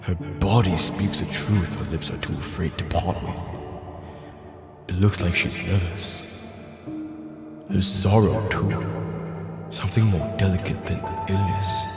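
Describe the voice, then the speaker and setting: An actor playing an earnest, observant young warrior and protective lover in a dramatic audio drama, speaking in a pensive way. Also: male voice, sits low, fairly even intonation, a little slow, pauses often, over loud music. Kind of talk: dramatic audio drama, inner monologue and dialogue.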